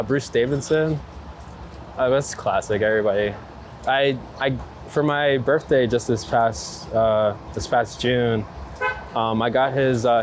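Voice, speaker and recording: A man with a middle-pitched voice chats in a loose, slangy, enthusiastic way, as a photographer being interviewed. He is outdoors in the city, with clear traffic and street noise around him. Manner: enthusiastic, informal, slangy with heavy use of "like". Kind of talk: casual chat, unscripted interview while out walking. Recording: loud urban street ambience, traffic noise. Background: city traffic and street ambience.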